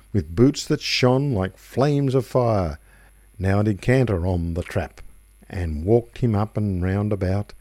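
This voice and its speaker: A man reciting a rhyming tale as a radio storyteller, in measured, rhythmic phrases. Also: fairly low pitch, warm tone, no room sound, clean studio sound. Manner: measured, rhythmic verse delivery. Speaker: radio reader. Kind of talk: expressive poetry recitation, storytelling.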